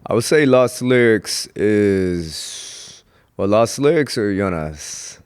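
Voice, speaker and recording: A young man with a low, deep voice tells his story in a relaxed podcast chat, pausing now and then to think. His tone is smooth and resonant, and he speaks in a reflective, unhurried way. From Houston, Texas. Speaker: guest comedian. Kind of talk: casual podcast interview.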